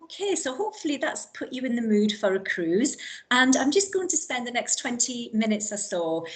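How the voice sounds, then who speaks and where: A woman's voice: sing-song, moderate pace. A middle-aged woman with a Geordie accent, presenting to a virtual travel show in a chatty sales manner with plenty of ums. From northeast England. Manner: chatty sales pitch with frequent ums and direct address. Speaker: cruise line account manager.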